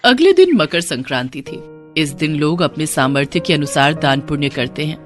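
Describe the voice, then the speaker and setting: A woman's voice: low voice, lively intonation, moderate pace. Woman narrating over background music. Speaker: story narrator. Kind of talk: scripted moral-story narration.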